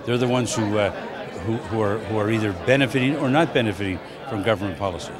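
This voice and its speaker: A man's voice: lowish voice, fast talker, loud music behind the voice, over a noisy crowd. A man, a parliamentarian, giving earnest interview answers with fillers and restarts.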